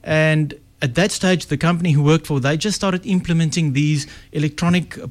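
A man's voice: a little high, fairly even intonation, normal speed. A middle-aged man with an Afrikaans South African accent, a guest telling his story in a casual, reflective way. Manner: chatty, reflective, with self-corrections. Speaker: guest, success strategist. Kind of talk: casual interview talk.

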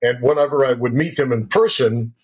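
A man's voice: mid-range voice, fairly quick, slightly rough, rough recording. An older man, a former radio broadcaster, telling stories from his life as an interview guest in a chatty, folksy way. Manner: chatty, folksy, checks in with "right?". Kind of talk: conversational storytelling. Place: local to the show's town.

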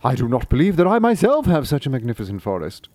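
A man's voice: medium pitch, huge pitch swings, fast, darkish tone, no room sound. A man voicing an admiring, amazed king in a storybook reading. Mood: impressed.

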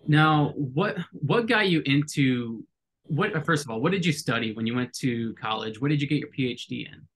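A man's voice: mid-range voice, animated, moderate pace, fairly bright tone, slight background noise. A man hosting a podcast interview, friendly and enthusiastic, often repeating words as he goes. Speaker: podcast host. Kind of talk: casual interview.

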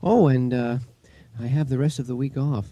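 An actor playing a shaken, stammering husband in a radio drama. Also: male voice, medium pitch, moderate pace, dark tone, natural voice. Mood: shaken, apologetic. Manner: stammering, repeating himself anxiously. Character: shaken, relieved husband who escaped a plane crash.

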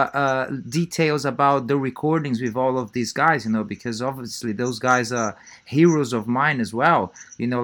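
A man's voice: a little high, fairly bright tone. A man hosting a casual interview show, asking long rambling questions full of fillers. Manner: rambling, many fillers and restarts, frequent "mm-hmm". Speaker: podcast host.

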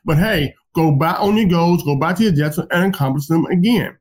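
Guy hosting an interview, motivational, kinda preachy, higher voice. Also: a little fast. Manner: motivational, lecturing. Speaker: host. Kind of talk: encouraging interview talk.

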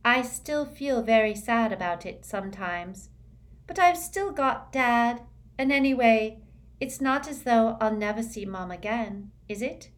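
A woman's voice: a little high, unhurried, pitched above the performer's own voice, natural voice. A woman doing a dreamy, airy teenage witch girl. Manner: airy, matter-of-fact, lilting.

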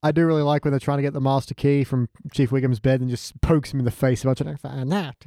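A man's voice: mid-range voice, steady tone, quick pace, mellow, dry recording, studio quality. A man chatting casually as a podcast guest, making wry joking asides. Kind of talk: casual comedic chat.

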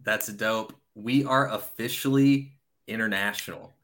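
A man's voice: lowish voice, unhurried, crowd noise. A man in his thirties hosting a podcast, talking in an enthusiastic, slangy, rambling way. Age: 30s. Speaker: podcast host. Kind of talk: casual chat.

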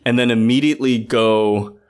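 A man's voice: medium pitch, fairly even intonation, normal speed, darkish tone. A man co-hosting a casual film discussion, earnest and thinking aloud as he goes. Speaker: podcast co-host.

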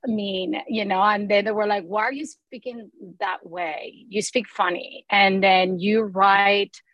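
Woman, English, lively storytelling, very dry close sound. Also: mid-range voice, unhurried, no echo, dull audio. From Mexico. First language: Spanish. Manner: animated storytelling, acts out quoted voices. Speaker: guest, film producer. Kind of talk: conversational interview.

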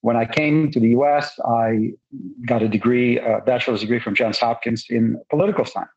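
A husky-voiced man in his sixties talks with a Russian accent, working through his explanation in a measured way, pausing on fillers and restarting phrases. His voice comes through a somewhat muffled, lo-fi line. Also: mid-range voice, fairly even intonation, darkish tone. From Soviet Union. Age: 60s. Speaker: guest, gold investor. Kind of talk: podcast interview.

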